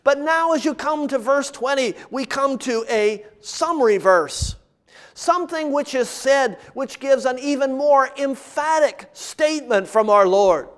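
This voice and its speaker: A man preaching an expository sermon as a preacher, emphatic and deliberately repeating key phrases. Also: very high-pitched, expressive, fairly bright tone.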